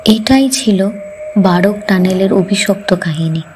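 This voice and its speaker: Woman telling a spooky story, slow n suspenseful, mid pitch, bit of noise behind her. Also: a little mellow. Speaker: host and narrator of a ghost story channel. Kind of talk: scripted horror story narration.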